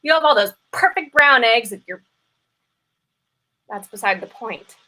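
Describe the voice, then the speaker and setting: An actress plays a guilt-ridden mistress with a fairly high, bright, forceful voice. She is earnest and self-dramatizing, as in a comic stage play, pressing every confession with emphasis. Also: expressive, unhurried. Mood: dramatic confession. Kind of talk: live play reading, comedic stage acting.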